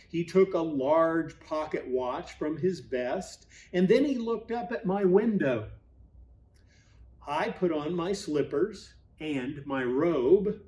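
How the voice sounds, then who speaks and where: A man narrates a children's story very slowly, in a slightly higher male register. His phrasing is measured and expressive, with dramatic pauses. The mic sits a little way from him. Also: slight background noise. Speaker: pastor reading a Christmas story aloud. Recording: voice at a distance from mic.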